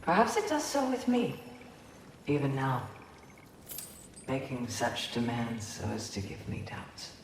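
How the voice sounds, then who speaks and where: A solemn, slow-spoken valkyrie in reverb. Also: female voice, a bass voice, big pitch swings, full character voice. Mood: reflective, resolved. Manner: measured, deliberate, earnest delivery with pauses. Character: solemn, gentle, resolute valkyrie warrior woman. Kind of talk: dramatic video game voice acting. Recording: echoey long reverb, faint background noise.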